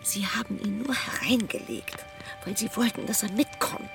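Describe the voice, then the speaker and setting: An actress playing a motherly troll who tells old tales in a children's radio play, sometimes hushing to a whisper. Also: mid-range voice, normal speed, close to an everyday voice. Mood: storytelling. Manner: storytelling, hushed at times. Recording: loud music bed under dialogue.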